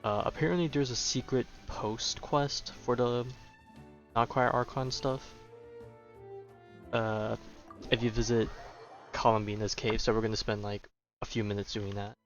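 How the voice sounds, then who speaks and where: A man's voice: medium pitch, fairly even intonation, measured pace, pauses often. A man giving casual livestream commentary as he plays a game. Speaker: game streamer. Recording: slightly dull, lo-fi mic. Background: game music.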